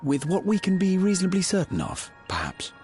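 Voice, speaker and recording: An actor voicing a self-assured young scholar in a video game, explaining things in formal, precise, deliberate phrases. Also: male voice, high-pitched, wildly swooping pitch, darkish tone, soft background music. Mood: measured, analytical. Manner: articulate, formal, deliberate lecturing delivery. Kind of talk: scripted video game exposition.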